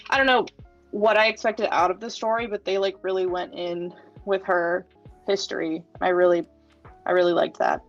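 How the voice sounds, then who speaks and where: A woman's voice: fairly low pitch, steady tone, moderate pace. A woman talking casually and informally as a co-host on a fan livestream. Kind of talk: casual chat. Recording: dull lo-fi sound, faint music.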